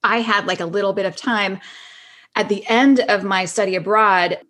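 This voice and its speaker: A woman's voice: medium pitch, normal speed, pushed and bright. Podcast guest, female, forties, mid-range voice. Informal, rambling, giggly conversational reminiscing. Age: 40s. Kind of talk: casual chat, reminiscing.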